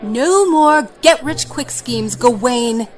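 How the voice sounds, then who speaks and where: A firm, sensible female adventurer in a fantasy audio drama. Her voice sits a little high and is assertive and no-nonsense, over faint music. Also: lively intonation, slow. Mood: exasperated, firm.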